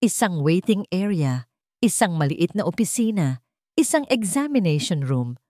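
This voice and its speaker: A woman's voice: on the low side, big pitch swings, moderate pace, darkish tone, no echo, clean studio sound. A woman with a Filipino accent narrating a scripted story in a dramatic style.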